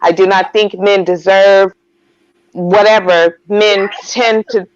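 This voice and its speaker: A woman speaks her mind in a discussion, firm and emphatic. Her pitch sits in the middle range. The audio is dull and lo-fi, with very little room sound. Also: fairly even intonation, slow pace, dry recording. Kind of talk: opinionated discussion.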